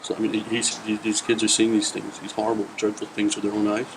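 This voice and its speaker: A man's voice: lowish voice, monotone, fast talker, noisy background. A man volunteer soberly recounting what he has witnessed, in a documentary interview.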